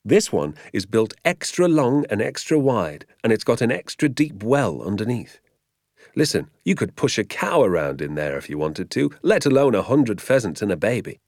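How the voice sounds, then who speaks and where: A man's voice: fairly low pitch, sing-song, moderate pace, darkish tone, dry recording, studio quality. A man voicing a fond, sly, proud father in a storybook, with an easygoing, assured manner. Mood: proud, happy. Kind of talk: storybook character voice.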